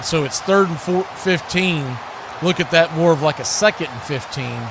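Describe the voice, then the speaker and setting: A man's voice: on the high side, normal speed. An American man calling live football play-by-play in short clipped phrases, repeating words as the action unfolds. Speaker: play-by-play sports commentator. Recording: noisy live stream with background noise.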